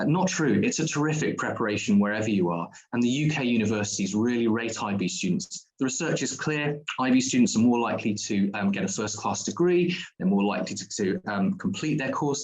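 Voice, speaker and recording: A man's voice: lowish voice, poor audio. A man presenting a school's diploma courses at an online open day, explaining at length with frequent ums and restarts.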